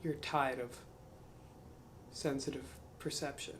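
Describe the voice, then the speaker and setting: A man reading a spiritual book aloud to camera. He adds slow, musing commentary, questions himself, and trails off into mumbles. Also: mid-range voice, steady tone, fairly bright tone, slight background noise.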